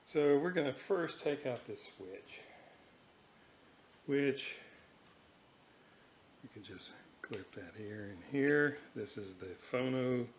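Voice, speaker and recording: A man casually narrating his hands-on repair work at a workbench, half muttering to himself in halting fragments. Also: mid-range voice, lively intonation. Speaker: hobbyist radio restorer. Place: indoor workbench room. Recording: roomy, echoing, noisy workshop recording.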